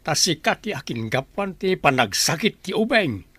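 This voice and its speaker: A man's voice: on the high side, sing-song, normal speed, a bit bright, husky, heavily acted. A man playing a pious villager who admonishes others earnestly with rhetorical questions in an Ilocano drama. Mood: earnest, admonishing. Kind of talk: Ilocano radio-style drama acting.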